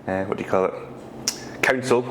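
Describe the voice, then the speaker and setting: A young adult man from Scotland with a Scottish accent, answering interview questions in a relaxed way with frequent ums and pauses. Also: on the low side, a little hiss or hum, low-quality recording. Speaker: guest, whisky brand ambassador.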